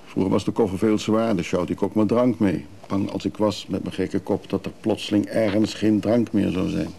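Fussy nervous traveller in a radio play, gravelly and mellow, hesitant, bit rambling. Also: male voice, lowish voice, steady tone, normal speed. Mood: wry, rambling. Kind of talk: radio drama acting. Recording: noisy radio play recording.

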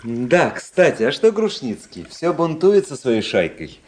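An actor playing a cynical, cool young aristocratic officer in a Russian radio drama, with ironic, measured, literary delivery. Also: male voice, medium pitch, sing-song, darkish tone.

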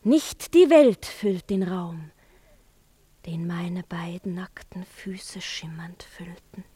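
A woman playing a seductive witch and spurned lover in a theatrical verse radio play, breathy and often whispering. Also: lowish voice, warm tone, heavily acted. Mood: seductive yearning. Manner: slow, incantatory, yearning delivery. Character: seductive witch, the emperor's jilted lover, pleading and taunting.

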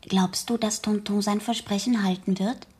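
A woman's voice: mid-range voice, steady tone, normal speed, darkish tone, breathy edge, close to an everyday voice. An actress in a German radio play voicing an exuberant, cheeky, naive sixteen-year-old girl, eager and unguarded. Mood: wistful, curious. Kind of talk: German radio drama acting.

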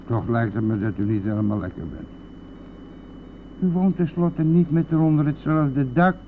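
An actor playing a garrulous, colloquial driver in a Dutch radio play, chatty and insistent, nosy and rough-edged. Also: male voice, mid-range voice, natural voice. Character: chatty, nosy male driver, crude and jovial, pestering silent passenger. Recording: tinny band-limited old radio recording, vehicle noise.

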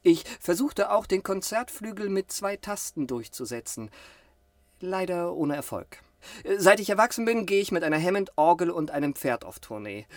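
Boastful, lightly high-voiced pianist. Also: male voice, no room sound. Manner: self-important, earnest about nonsense. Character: boastful, eccentric concert pianist. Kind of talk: satirical radio drama acting.

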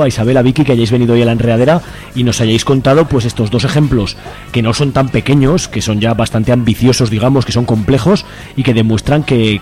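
A man's voice: medium pitch, mellow. A man from Spain with a Spanish accent presenting a radio show in a casual, conversational way.

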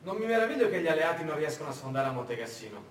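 An actor playing a callous, grumbling German army major in a stage radio drama, commanding and scornful. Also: male voice, sing-song, racing. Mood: irritated, grumbling. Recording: very echoey hall, distant mic, rumbling noise.

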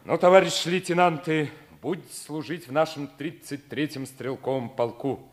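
A man's voice: fairly high pitch, moderate pace, a little hiss or hum, lightly acted. An actor playing a middle-aged Soviet lieutenant colonel, hearty, authoritative and fatherly, in 1950s stage radio drama style. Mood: commanding, fatherly. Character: fatherly commanding lieutenant colonel, jovial Soviet regiment commander.

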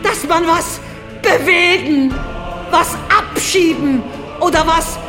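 An actress playing an outraged, idealistic young journalist making a theatrical, impassioned plea in a radio play. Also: female voice, very high voice, takes it slowly. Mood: impassioned outcry. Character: outraged young journalist woman, passionate idealist pleading to a courtroom. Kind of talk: German radio play monologue. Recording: reverberant hall, music underneath. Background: music.

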